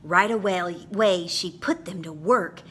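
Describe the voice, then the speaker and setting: A woman reading a picture book aloud to children with dramatic, expressive phrasing. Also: lowish voice, unhurried, breathy edge.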